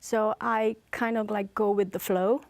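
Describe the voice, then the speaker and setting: A woman's voice: mid-range voice, steady tone, a little slow, darkish tone, no room sound. A woman from Hungary with a Hungarian accent, speaking as a guest in a casual interview, hesitant with many fillers and restarts.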